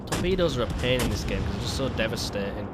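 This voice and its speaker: A man's voice: fairly low pitch, animated, fast talker, very noisy. Chatty man with a cough. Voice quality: rambling, stumbling restarts. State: lingering cough. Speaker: gaming video host. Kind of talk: casual gameplay commentary.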